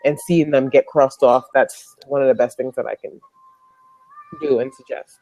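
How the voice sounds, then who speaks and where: Low, dark-voiced woman on a panel. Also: steady tone, normal speed, rough recording. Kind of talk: motivational group video chat.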